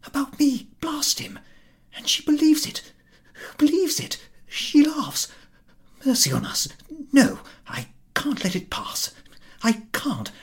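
A man voicing Ahiniv, a nervous middle-aged host who frets about gossip, in breathy anxious whispers that squeak up high when upset. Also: wildly swooping pitch, slow, pauses often, exaggerated voice. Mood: flustered.

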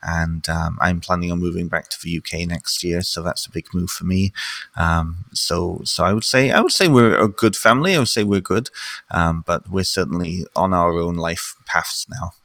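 Low, gravelly man in his thirties. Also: normal speed, no room sound. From North Wales, raised in Ontario, Canada. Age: 30s. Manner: chatty, lively with little laughs and fillers. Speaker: therapist and guest. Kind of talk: conversational interview.

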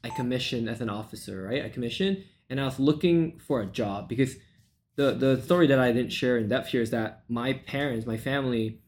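A man's voice: mid-range voice, a little fast, a little mellow, a little hiss or hum. A man in his thirties from Malaysia, a sales coach guest recounting his story in long run-on sentences with fillers. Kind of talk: podcast interview.

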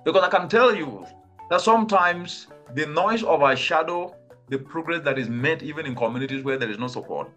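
A man's voice: on the high side, expressive, brisk, pushed and bright, soft background music. A man from Cameroon, a climate expert, answering interview questions earnestly and with rhetorical questions. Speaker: guest, climate change expert.

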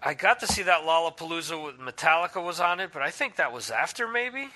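A man's voice: on the high side, lively intonation, fast talker, bright, forceful tone. A man in his forties chatting casually on a podcast, loose and profane, laughing often. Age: 40s. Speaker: podcast host. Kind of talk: casual chat. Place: lives in Spain.

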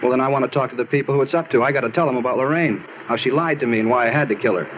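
An actor playing a moody American murder suspect, insistent and naively self-justifying, in a 1950s radio drama style. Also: male voice, medium pitch, racing, natural voice. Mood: insistent, wounded. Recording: muffled noisy old radio recording.

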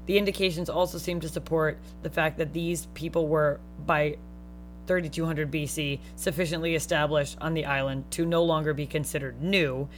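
A woman's voice: low-pitched, fairly even intonation, moderate pace, soft background music. Joking woman reading history notes. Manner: joking, swears casually. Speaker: podcast co-host. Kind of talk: casual chat, reading researched notes.

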